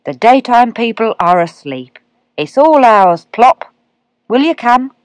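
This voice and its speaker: Kindly male cat, drawling, unhurried, lower voice, put on by a woman narrator, dry sound. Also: lively intonation, dry recording. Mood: dreamy. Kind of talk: storybook reading.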